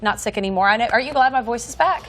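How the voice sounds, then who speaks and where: A woman's voice: a little high, very fast. Upbeat woman with a slightly crackly voice. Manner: upbeat, teasing. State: slightly crackly voice after illness. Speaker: TV talk show co-host. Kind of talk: live TV banter.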